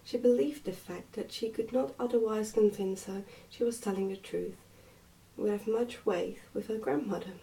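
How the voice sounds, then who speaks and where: Woman, gentle ASMR book narration, near-whisper, dark mellow tone, slightly distant mic. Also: medium pitch, normal speed, creaky, slight background noise. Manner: soft-spoken, often near a whisper. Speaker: ASMR reader and host. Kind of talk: soft ASMR book reading.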